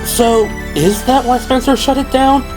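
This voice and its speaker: A man's voice: very high voice, fairly even intonation, a little slow, over music, voice pushed up. A man voicing an uneasy, easily flustered male police pilot in an audio drama, scoffing and defensive. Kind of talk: audio drama character acting.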